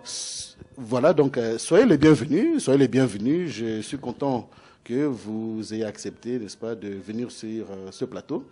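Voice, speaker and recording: A man's voice: mid-range voice, lively intonation, a little slow, mellow. A man hosting a TV interview, speaking formally and addressing the audience directly. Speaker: TV interview host.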